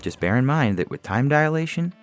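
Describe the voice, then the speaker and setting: A man's voice: medium pitch, big pitch swings, brisk, voice pushed up, natural voice. A man voicing a weary, empathetic alien data technician who explains defensively in a science fiction story, over music. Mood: resigned. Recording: music under the voice.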